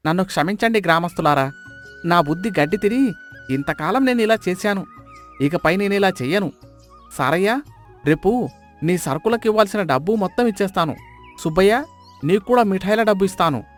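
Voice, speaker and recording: Man voicing a high-pitched village miser. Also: lively intonation, fairly quick, pauses often, soft background music. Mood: remorseful. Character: miserly village man, indignant and easily frightened. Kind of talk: dramatised storybook dialogue.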